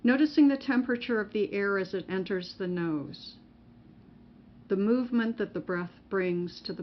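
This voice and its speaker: A woman narrating a guided meditation as a mindfulness teacher, in measured, instructional phrases with pauses between them. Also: on the low side. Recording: muffled recording with faint background noise.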